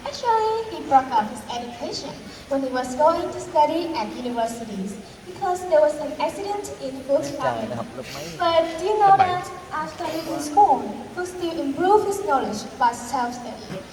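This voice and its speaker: A woman's voice: high-pitched, animated, moderate pace. A woman with a Vietnamese accent hosting a talk show in a school role-play, speaking in a careful, rehearsed presenter style. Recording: echoey hall, distant mic, background noise.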